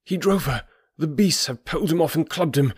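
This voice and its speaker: A man's voice: on the high side, a little fast, slightly rough, no room sound, clean studio sound, pitched above the performer's own voice. A man voicing a frantic, desperate male ally in an audiobook story, exclaiming with urgency.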